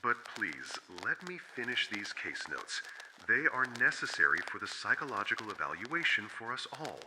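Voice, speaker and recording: A man playing a brooding, formal otherworldly therapist recording measured, clinical case notes in an analog horror audio drama. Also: lowish voice, very animated. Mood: distracted, weary. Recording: noisy, clicky, radio-like recording.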